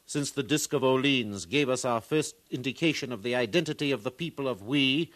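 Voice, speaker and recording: An actor playing a learned, proud museum curator with formal, professorial speech in a 1950s radio drama. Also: male voice, mid-range voice, brisk, fairly bright tone, close to an everyday voice. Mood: scholarly, pleased.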